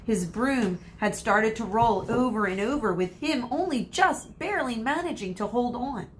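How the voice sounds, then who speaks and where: A woman's voice: a little high, animated. Woman reading a storybook with dramatic emphasis. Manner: dramatic emphasis, pauses for suspense. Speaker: read-aloud storyteller, teacher. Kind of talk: expressive storybook narration. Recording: faint background noise.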